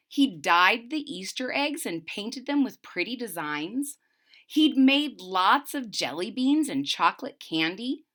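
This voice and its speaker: A woman storyteller reading a picture book to children, deliberate and expressive, with simple explanations. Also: medium pitch. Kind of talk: expressive read-aloud with simple recaps.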